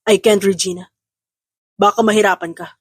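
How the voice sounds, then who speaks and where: A woman's voice: medium pitch, steady tone, normal speed, husky, no echo, clean studio sound, natural voice. A woman playing a serious, protective businessman and new father in an audio drama. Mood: serious, caring. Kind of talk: Tagalog audio drama acting.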